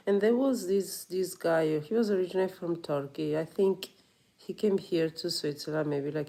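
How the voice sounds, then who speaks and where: A woman's voice: low voice, dark tone. A woman yoga teacher in a reflective personal monologue, earnest and rambling, often saying "you know".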